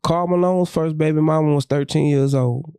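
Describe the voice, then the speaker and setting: A man's voice: a little high, steady tone, dark tone. A man co-hosting a podcast, talking brashly with lots of profanity in casual chat. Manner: brash, profane and quick to agree. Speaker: podcast co-host.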